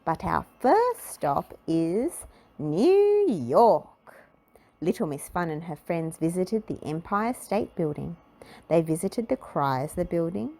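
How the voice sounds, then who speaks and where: A woman reads a children's story aloud. Her voice sits a little low, and she tells the tale expressively, in measured storybook narration. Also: dark tone.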